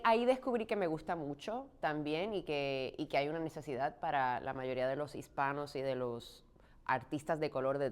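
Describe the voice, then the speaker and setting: A woman raised in Puerto Rico, an actress answering interview questions thoughtfully, often pausing with fillers. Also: sits low, clear, resonant tone. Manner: thoughtful, frequent restarts and "eh" fillers.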